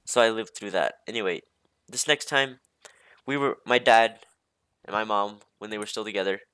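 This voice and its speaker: A young man with a slightly low voice tells personal stories casually. He talks in a loose, halting way, doubling back and losing his thread, with "like" scattered through his sentences. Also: bright, forceful tone, clean tone, no room sound. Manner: hesitant, halting, easily distracted, heavy use of "like". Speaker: storyteller. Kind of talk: casual rambling storytime.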